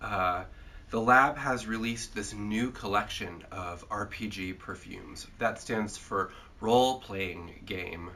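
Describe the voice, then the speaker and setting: Congested man with a bright voice. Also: on the low side, slow pace, slight background noise. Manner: rambling and jokey, many filler sounds. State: congested from a cold. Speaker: host. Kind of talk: casual chat.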